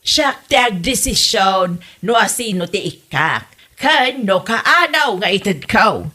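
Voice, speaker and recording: A woman's voice: mid-range voice, very animated, takes it slowly, pushed and bright, exaggerated voice. A woman playing a stingy, grumbling older mother-in-law in a comedy audio drama, scolding and begrudging as she talks. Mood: evasive, stingy. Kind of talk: comedy radio drama acting.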